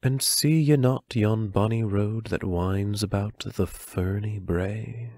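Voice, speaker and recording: An actor playing a grave mentor figure in an audio play, measured and solemn. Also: male voice, fairly low pitch, darkish tone, no echo. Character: grave male mentor steeped in old ballads. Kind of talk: audio play acting with verse recitation.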